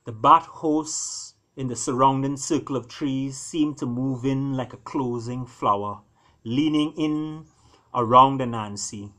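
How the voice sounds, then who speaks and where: A man reading his own fiction aloud as a storyteller, with theatrical pauses and emphasis. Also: medium pitch, a bit bright. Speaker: author reading own novella. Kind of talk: storytelling, dramatic scripted narration.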